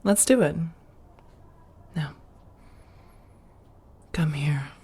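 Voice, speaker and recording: A woman playing an affectionate, teasing vampire roommate in a soft-spoken ASMR roleplay, breathy and intimate, often half-whispering. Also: sits low, animated, very slow, full character voice. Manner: intimate, teasing, coaxing with pet names.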